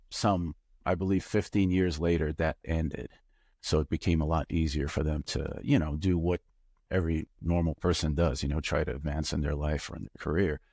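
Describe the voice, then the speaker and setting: A man in his fifties from Bulgaria, a startup founder telling his life story as an interview guest, reflective and pausing often with fillers. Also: very deep voice, a little mellow, husky, no echo, clean studio sound. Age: 50s. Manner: reflective, hesitant with many fillers.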